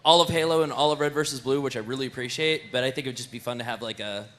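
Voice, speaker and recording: Bright-voiced man joking from the audience. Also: medium pitch, rapid-fire. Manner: jokey, performing for the crowd. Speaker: audience member asking a question. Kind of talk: rambling, joking question from the floor. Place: audience of a fan convention panel.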